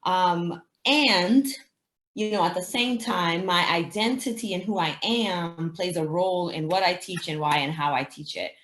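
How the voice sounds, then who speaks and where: A woman's voice: fairly low pitch, measured pace, a bit bright. Echoey interview, woman educator, native Spanish speaker. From Dominican Republic. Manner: explanatory, thinking aloud with rhetorical questions. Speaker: teacher and anti-racism educator, interviewee. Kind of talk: conversational interview answers. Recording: roomy, echoing sound.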